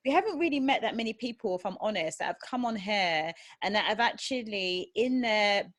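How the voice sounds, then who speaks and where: A woman's voice: mid-range voice, lively intonation, brisk, a bit bright, no room sound. Woman podcast host, effusive and emphatic. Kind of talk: conversational interview.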